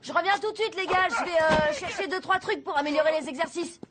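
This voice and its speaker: A woman's voice: extremely high pitch, quick pace, heavy background noise. A woman voicing a cocky, scheming schoolboy in a cartoon, confident and persuasive. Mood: upbeat, reassuring. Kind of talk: French animated cartoon acting.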